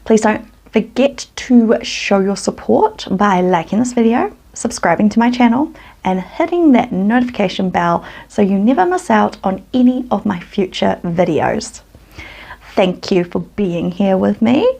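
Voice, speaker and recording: A woman's voice: mid-range voice, expressive, measured pace, gravelly, darkish tone. A woman from New Zealand with a New Zealand accent, hosting her true crime channel and telling the story in a casual, earnest way.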